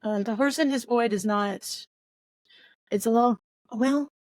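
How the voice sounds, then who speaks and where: A woman's voice: a little high, a little slow, darkish tone, no room sound. A middle-aged woman co-hosting a book podcast, chatting casually and repeating short phrases for emphasis. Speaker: podcast co-host.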